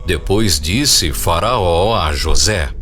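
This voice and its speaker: A man's voice: low-pitched, expressive, slow, bright, forceful tone. A man narrating a dramatized audio Bible in a scripted storytelling style.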